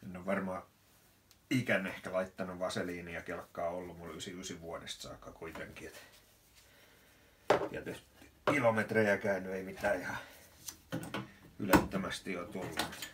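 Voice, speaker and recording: Low-voiced man muttering over garage work. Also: fairly even intonation, long pauses. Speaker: amateur mechanic presenting own repair video. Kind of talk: casual commentary while working. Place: small wooden garage or shed, indoors. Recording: noisy amateur workshop recording, clicks.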